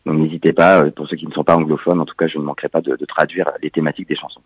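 A very deep-voiced man from Montpellier talks through a radio interview, pausing often with fillers. The sound is dry and a little dull, like a modest studio feed. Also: flat delivery, husky, no room sound. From Montpellier, France. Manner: hesitant, many fillers. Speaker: musician and actor guest.

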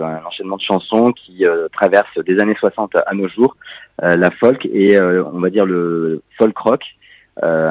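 A man's voice: extremely low pitch, no room sound, narrow, tinny audio. A man from Montpellier, a musician and actor, answering interview questions with many hesitations. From Montpellier, France. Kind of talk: radio interview.

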